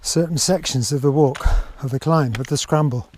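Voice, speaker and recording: A man talking casually to his camera as he walks a mountain trail outdoors, reflective and unhurried, with halting phrases. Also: a little high. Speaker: hiker filming his own walk. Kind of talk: casual walking vlog narration. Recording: noisy outdoor camera audio.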